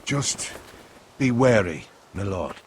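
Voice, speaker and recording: A man voice acting a loyal, cautious retainer in a fantasy game drama, gravelly, deferential and watchful toward his lord. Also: on the low side, lively intonation, slow, slight background noise. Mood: wary.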